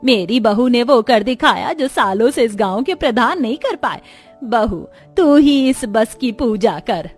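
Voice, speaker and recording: A woman's voice: a little high, lively intonation, measured pace, faint music behind. A woman playing a traditional, easily flustered older village mother-in-law in a cartoon, homely and exclaiming. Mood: proud.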